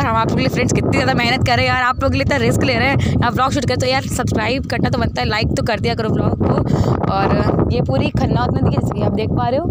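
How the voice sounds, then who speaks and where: A young woman vlogging outdoors, chatting straight to her viewers as she walks along a railway bridge, recorded on a handheld phone. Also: on the high side. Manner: chatty, talks straight to viewers while walking. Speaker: travel vlogger. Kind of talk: casual vlog narration. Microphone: handheld phone at arm's length. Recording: loud wind noise outdoors. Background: strong wind noise.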